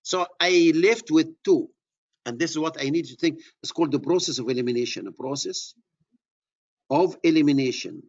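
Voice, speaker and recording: Middle-aged male engineering instructor, Egyptian accent, slightly higher pitch, husky, dull lo-fi recording. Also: lively intonation, normal speed. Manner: repeats key words, restarts phrases. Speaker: surveying instructor. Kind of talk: classroom lecture.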